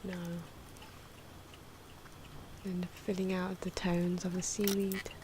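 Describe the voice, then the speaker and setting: Soft-spoken woman, low-pitched ASMR commentary. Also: monotone, moderate pace, noisy background. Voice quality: soft-spoken, at times whispery. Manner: unscripted, musing, self-correcting. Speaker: ASMR artist narrating own drawing. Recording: clock and pen noises behind. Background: ticking clock, pen scratching.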